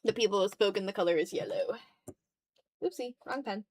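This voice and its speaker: A woman's voice: mid-range voice, expressive, brisk, darkish tone, a little hiss or hum. Woman chatting casually on an art stream. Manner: slangy, playful, talks to herself and viewers. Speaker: streamer, digital artist. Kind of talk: casual livestream chat while drawing.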